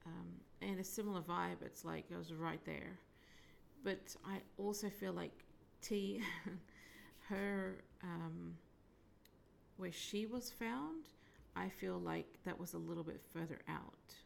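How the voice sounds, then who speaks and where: A woman with a croaky voice, giving a hesitant psychic reading full of ums and whispered asides. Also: fairly low pitch, slow, a little hiss or hum. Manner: hesitant, filler-heavy, drifting into whispered asides. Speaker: psychic medium. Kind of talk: solo psychic reading monologue.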